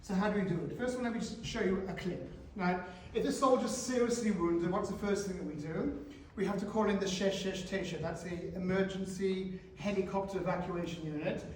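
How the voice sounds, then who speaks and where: A man in his forties speaks to a room of teenagers, often stopping to put questions to them. His voice sits fairly high, and he is picked up from a distance, so the big hall echo swallows his words. A faint murmur of room noise hangs underneath. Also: brisk. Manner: animated, asks the audience questions. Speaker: guest speaker. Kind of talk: school talk to teenagers. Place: school hall. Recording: echoey hall, speaker far from mic.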